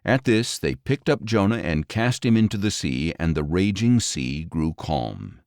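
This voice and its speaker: A man reading scripture as an audiobook narrator in a measured, solemn, dramatic storytelling style. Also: sits low, sing-song, gravelly, darkish tone, dry recording, studio quality.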